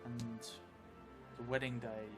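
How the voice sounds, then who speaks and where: A man in his forties, narrating a solo role-playing game and thinking aloud in a musing, halting, muttering way. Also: mid-range voice, little pitch movement. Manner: musing, halting, drifting into muttering while writing. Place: indoor theatre stream set, seated at a table. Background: background music.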